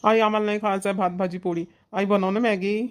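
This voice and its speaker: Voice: medium pitch, little pitch movement, fast talker, lightly acted. A performer playing an argumentative boy in a cartoon village family comedy, with a Vidarbha Marathi accent. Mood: pleading. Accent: Maharashtrian, Vidarbha Marathi. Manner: complaining, argumentative.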